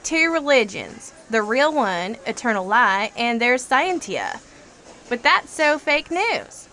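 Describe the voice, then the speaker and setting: An actress playing a flippant, zealous asylum inmate in an audio drama, chatty, sassy and theatrical, with slangy sarcasm. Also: female voice, high-pitched, bright, forceful tone, exaggerated voice. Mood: mocking.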